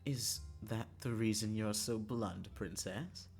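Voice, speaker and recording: A man's voice: fairly low pitch, slow, gravelly, soft background music. A man putting on a coy, flirtatious dandy. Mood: teasing.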